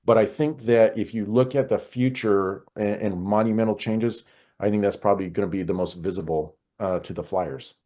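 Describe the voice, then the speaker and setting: An American man explaining things conversationally as an expert interview guest, in a halting way with frequent pauses and repetitions. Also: lowish voice, dark tone. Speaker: podcast guest, air traffic control expert.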